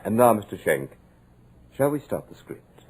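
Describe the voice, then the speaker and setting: A husky, gloating villain. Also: male voice, lowish voice, sing-song, normal speed, darkish tone. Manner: silky, taunting and theatrical. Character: suave sinister male hypnotist villain, gloating. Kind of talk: 1940s radio drama acting.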